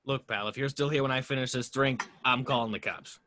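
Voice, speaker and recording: Man acting a gruff, forceful, exasperated detective. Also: mid-range voice, fairly even intonation, brisk, slight background noise, lightly acted. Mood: angry. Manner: curt, irritated. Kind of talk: amateur table read of a film script.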